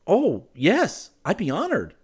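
Man playing an eager, courteous young gentleman, raised voice. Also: medium pitch, very animated, normal speed, voice pushed up. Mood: puzzled, eager. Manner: courteous, earnest, stammering when flustered. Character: eager, polite, naive young gentleman abroad.

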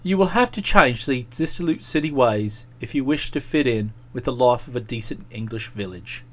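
A low-pitched young English gentleman from a comic storybook, as a male narrator puts him on. He is aggrieved and aloof, handing out pompous reproaches. His sarcasm is cold and drawled. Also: expressive, moderate pace, natural voice. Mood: aloof, scolding.

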